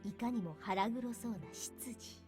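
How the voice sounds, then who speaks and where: Actress as easygoing visual-novel soldier gal: high register, quick delivery, teasing, frank, over music. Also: female voice, close to an everyday voice. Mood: playfully dramatic. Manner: casual, teasing. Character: breezy, outspoken young female soldier, playful and easygoing. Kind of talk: visual novel anime-style voice acting. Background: game background music.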